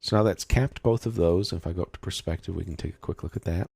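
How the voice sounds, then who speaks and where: Very deep-voiced man teaching software. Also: fast, vocal fry, husky, dry recording, studio quality. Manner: explanatory, methodical, unscripted. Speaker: software tutorial instructor. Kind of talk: step-by-step screen tutorial narration, informal.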